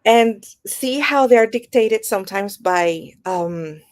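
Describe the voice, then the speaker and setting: A woman's voice: on the high side, expressive, slow. A woman guest on a podcast, talking reflectively and building her ideas up in lists. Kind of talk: reflective conversational podcast talk.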